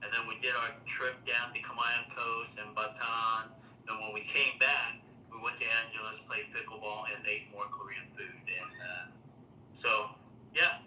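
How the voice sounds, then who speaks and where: A man's voice: lowish voice, little pitch movement, moderate pace, pushed and bright. An older man born in Taiwan hosting a casual live chat, rambling with lots of fillers and restarts. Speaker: livestream host. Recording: roomy, distant mic, faint noise.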